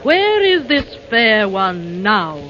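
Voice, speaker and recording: Imperious evil queen, sweeping pitch. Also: very animated, dull audio, slight background noise. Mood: demanding. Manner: commanding, theatrical, deliberate delivery. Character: cold, imperious evil queen, vain and murderous. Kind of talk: 1930s radio drama acting. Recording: band-limited 1930s radio broadcast.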